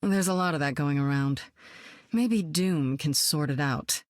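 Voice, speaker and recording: A seasoned woman superspy with a cool, wry, self-assured delivery. Her voice sits a little low and is recorded close and dry, with no room around it. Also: a little slow, no echo. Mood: cool, teasing. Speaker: game hero. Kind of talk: video game voice lines.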